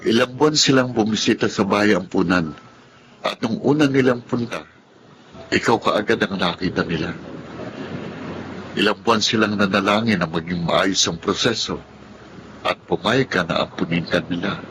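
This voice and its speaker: A man playing a gentle, earnest, protective blind older brother in a Filipino radio drama. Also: fairly low pitch, normal speed, pauses often, natural voice. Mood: earnest, reassuring. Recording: clear background ambience noise.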